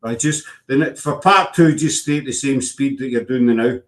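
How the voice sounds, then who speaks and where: A man's voice: medium pitch, normal speed. A man with a Glaswegian Scottish accent hosting a casual online chat show, teasing and joking with his guest. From Scotland. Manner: jokey, teasing, uses Scots words. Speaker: podcast host.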